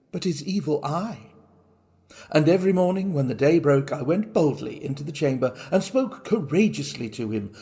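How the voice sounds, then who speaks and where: A man acting a cunning, boastful madman confessing a murder in a dramatic gothic horror reading, with theatrical, drawn-out phrasing. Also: on the high side, gravelly, darkish tone. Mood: boastful, gloating. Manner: theatrical, deliberate, drawn-out words.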